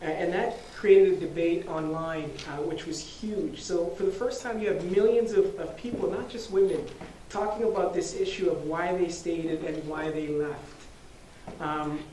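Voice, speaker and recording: A man's voice: on the high side, steady tone, measured pace, warm tone. A man hosting an event, giving earnest opening remarks with many fillers and restarts in a university lecture hall. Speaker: event host. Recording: echoey hall, distant mic, faint noise.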